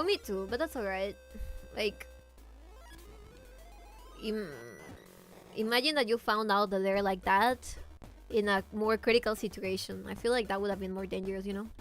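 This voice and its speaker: A woman's voice: medium pitch, normal speed, long pauses, fairly bright tone, soft background music. A young woman streaming a game, chatting casually and sarcastically with her chat, close on a desk microphone. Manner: chatty, sarcastic, reacts to chat and laughs often. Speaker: VTuber streamer.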